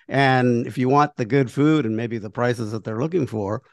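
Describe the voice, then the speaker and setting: A man's voice: mid-range voice, moderate pace, dry recording. A man hosts a podcast in a laid-back, affirming way, with a mid-range voice. His questions come conversationally, recorded close and dry. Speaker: podcast host. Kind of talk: casual podcast interview.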